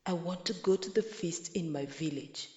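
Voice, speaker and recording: A woman voicing an eager, scheming old village man in a storybook, with slow, emphatic declarations. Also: on the low side, dark tone, close to an everyday voice. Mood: pondering. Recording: echoey, roomy sound.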